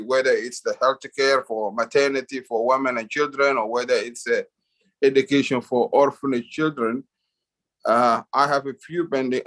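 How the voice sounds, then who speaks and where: Halting man from Somalia on a call. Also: medium pitch, fairly bright tone, poor audio. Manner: halting, many fillers and restarts. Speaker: call-in guest. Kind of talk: casual group call. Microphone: video call.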